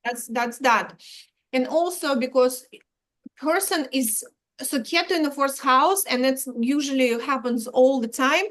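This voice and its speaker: A woman talks slowly as an interview guest. She pauses, restarts her sentences and drops small words. Her voice sits a little high. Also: fairly bright tone. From Ukraine. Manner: halting, many restarts, drops articles. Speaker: Vedic astrologer guest. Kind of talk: explanatory interview talk.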